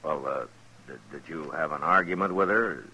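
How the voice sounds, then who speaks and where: A man acting a stern, steady frontier lawman in an old-time radio western, speaking deliberately. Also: extremely low pitch, full character voice. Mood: probing, careful. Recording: noisy old radio drama recording.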